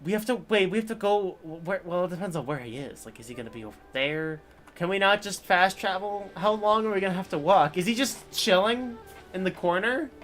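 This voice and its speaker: A man live-streaming a game, rambling and chatting casually over the in-game dialogue. His voice sits a little high for a man, with faint game music underneath. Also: expressive, brisk, fairly bright tone.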